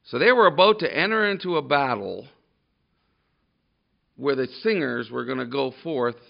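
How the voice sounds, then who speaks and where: A man preaching a sermon to a congregation, loud and emphatic, often restarting his sentences. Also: mid-range voice, lively intonation, moderate pace, vocal fry. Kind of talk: sermon with scripture reading. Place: church room with a congregation. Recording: dull lo-fi sermon recording.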